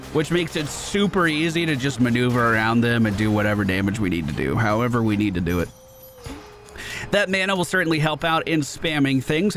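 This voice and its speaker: Lively, higher-pitched man doing game commentary. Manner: upbeat running narration of own play. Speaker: gaming video host. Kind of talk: gameplay commentary. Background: video game music.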